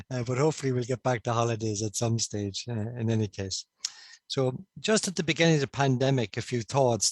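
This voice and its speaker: A middle-aged man with a Cork Irish accent, a stroke consultant giving a remote conference talk over a video-call headset, measured and with frequent fillers. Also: lowish voice, dry recording. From Ireland. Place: indoor room, on a video call.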